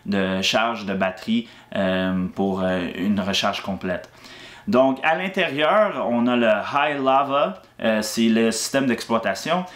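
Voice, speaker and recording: A man giving a casual product review of a guitar, relaxed and hesitant with frequent fillers. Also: mid-range voice. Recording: echoey room.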